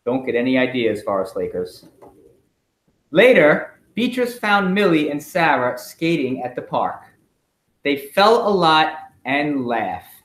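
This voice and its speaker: A middle-aged man, a school principal, reading a storybook aloud expressively and pausing with chatty asides and questions for children. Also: fairly high pitch, big pitch swings, fairly bright tone. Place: indoors at home. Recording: echoey room in a home.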